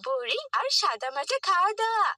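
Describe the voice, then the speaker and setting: A woman's voice: extremely high pitch, sing-song, fairly quick, bright, forceful tone, no room sound, clean studio sound, far from the mic, exaggerated voice. A woman voicing a spoiled, boastful rich schoolgirl in a children's cartoon, haughty and taunting. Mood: mocking, haughty. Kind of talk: scripted children's cartoon dialogue.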